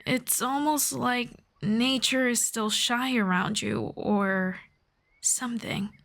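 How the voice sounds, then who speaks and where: A woman playing a gentle, earnest elf friend in a soft-spoken, measured ASMR fantasy roleplay. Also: on the high side, fairly even intonation, gravelly, full character voice. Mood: gentle, reflective. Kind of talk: slow scripted ASMR roleplay.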